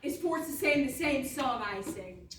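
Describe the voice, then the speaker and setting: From a roomy stage, off-mic, comes a woman playing a young ice princess, petty and power-hungry. Her high voice moves between grand villainy and sheepish deadpan. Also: measured pace. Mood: grandiose menace. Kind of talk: comic stage readers theatre. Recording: roomy, distant stage recording, faint noise.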